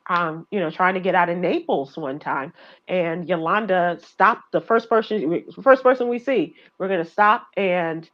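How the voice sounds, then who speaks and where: A woman's voice: fairly low pitch, unhurried, muffled recording. A woman radio host telling travel stories in casual chat, laughing and acting out voices as she goes. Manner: chatty, laughing, acts out voices in her stories.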